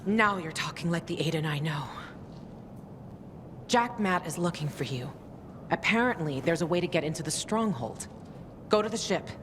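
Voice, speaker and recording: A woman's voice: expressive, moderate pace, pauses often, long reverb tail, close to an everyday voice. A woman playing a tough, protective ally in a video game, speaking in a guarded, direct and urgent manner. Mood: encouraging. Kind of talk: video game cutscene acting. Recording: loud background rumble.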